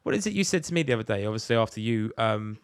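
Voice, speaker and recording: A man's voice: fairly low pitch, lively intonation, very fast, smooth, no room sound, clean studio sound. A British man chatting casually as a podcast co-host, in a blokey, rambling, sweary way. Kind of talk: casual chat.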